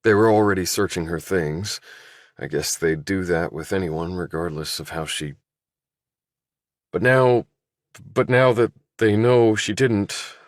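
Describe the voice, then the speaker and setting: A man's voice: sits low, a little slow, dry recording, studio quality, pitched above the performer's own voice. A man voicing a mild, grieving middle-aged minister and father in an audiobook. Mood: subdued. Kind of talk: audiobook character dialogue.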